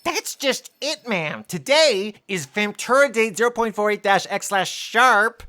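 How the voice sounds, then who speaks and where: Woman as a sharp-voiced, fussy sci-fi copy aide. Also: mid-range voice, expressive, measured pace. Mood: flustered, insistent. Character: fussy, pedantic, flustered junior copy aide. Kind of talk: comic sci-fi audio drama acting.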